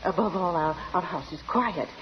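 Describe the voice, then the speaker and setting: A woman's voice: lowish voice, moderate pace, lightly acted. Actress as flustered landlady, old radio. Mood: flustered, eager. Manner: flustered, stammering, servile. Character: deferential, anxious, eager-to-please married London landlady. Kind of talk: 1940s radio acting. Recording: muffled, faintly noisy old radio recording.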